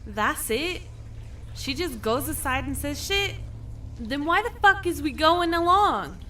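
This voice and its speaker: A woman's voice: sits high, expressive, unhurried, pushed and bright, distant mic, a little hiss or hum, heavily acted. A woman playing a coarse, slangy young vampire henchwoman who complains, in an amateur audio drama. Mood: indignant, confused.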